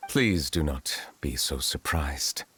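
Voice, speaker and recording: An actor playing a dignified dragon lord in human guise for a video game, speaking in a formal, measured, reserved way. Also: male voice, very deep voice, animated, a bit bright, a little hiss or hum, heavily acted. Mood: courteous, calm. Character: dignified male water dragon sovereign in human guise, solemn. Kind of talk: English video game voice acting. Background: game music.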